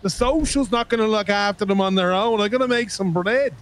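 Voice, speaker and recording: A man's voice: high-pitched, fairly quick. A man in his thirties on a headset mic, teasing a friend in a put-on Irish accent and swearing freely. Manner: puts on a fake Irish accent, then a mock American one. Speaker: streamer playing a roleplay character. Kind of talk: casual banter over voice chat.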